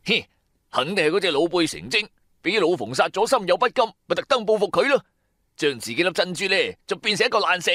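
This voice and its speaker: A man's voice: sits high, animated, fast talker, a bit bright, no echo, studio quality, voice pushed up. A man with a Cantonese accent playing an indignant, superstitious sidekick in an audio drama, emphatic and scoffing. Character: indignant, superstitious companion of the narrator.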